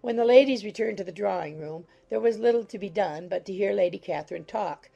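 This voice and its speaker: A woman's voice: mid-range voice, brisk, gravelly, warm tone, muffled recording. A woman reading scripted literary narration for a dramatized audiobook.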